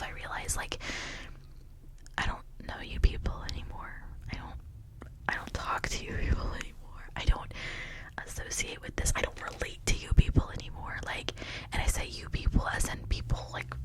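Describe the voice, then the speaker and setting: A woman speaking in a breathy whisper, rambling in a reflective, earnest and confiding way as an ASMR creator. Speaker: ASMR video creator and host.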